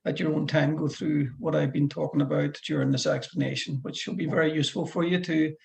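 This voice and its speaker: Gravelly Scottish man from Fife. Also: a little high, steady tone, brisk, slight background noise. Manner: chatty, rambling explanations with restarts. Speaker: driving instructor. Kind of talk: one-to-one lesson over a call.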